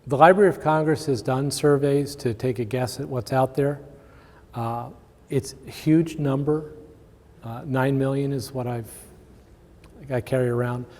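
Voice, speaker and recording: Mellow-voiced male presenter in a reverberant hall. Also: mid-range voice, fairly even intonation, measured pace. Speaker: conference presenter. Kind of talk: informal conference talk with audience questions. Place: large indoor conference room with long reverb.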